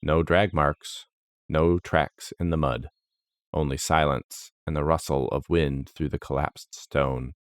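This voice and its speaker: A man narrating a scripted fantasy story in a solemn, dramatic, measured manner. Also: very deep voice, steady tone, clean tone, dry recording.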